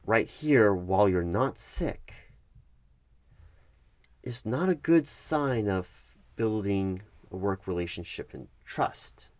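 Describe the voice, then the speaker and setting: Low-voiced man as officious HR manager. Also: lively intonation, no echo. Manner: measured, explanatory, officious, halting emphasis. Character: corporate HR manager, firm, bureaucratic man. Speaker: roleplay performer as HR recruiting manager. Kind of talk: one-sided ASMR office roleplay, conversational.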